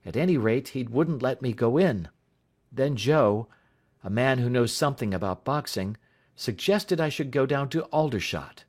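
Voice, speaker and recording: A man's voice: medium pitch, lively intonation, normal speed, pitched above the performer's own voice, lightly acted. A man reading aloud, voicing a nervous, polite teenage schoolboy who answers a teacher hesitantly. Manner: hesitant, polite, saying sir.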